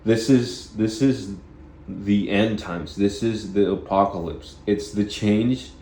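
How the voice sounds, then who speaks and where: A man with a deep voice giving a rambling spiritual self-help monologue, halting and repeating phrases as he goes. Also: dark tone, reverberant, a little hiss or hum. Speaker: vlogger.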